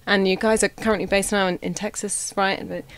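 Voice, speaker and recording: A woman's voice: mid-range voice, flat delivery, fairly quick, no echo, low-quality recording. A woman interviewing a musician, asking chatty, friendly questions.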